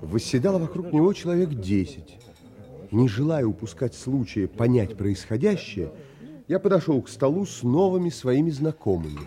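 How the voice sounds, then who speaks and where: A man playing a thoughtful traveller who recounts his wonder in measured, polite audio drama narration. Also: mid-range voice, sing-song, warm tone, close to an everyday voice. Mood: polite, curious. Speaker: narrator and lead actor in an audio play.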